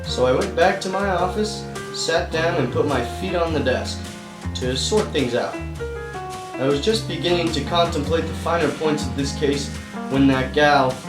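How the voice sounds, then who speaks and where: A man's voice: fairly high pitch, moderate pace, natural voice. A man playing a roomy-sounding, cocky noir private eye. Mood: musing. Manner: wisecracking, slangy, self-assured. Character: cocky, bumbling hardboiled male private detective. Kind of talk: noir radio-play narration and dialogue. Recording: roomy, distant voice over music.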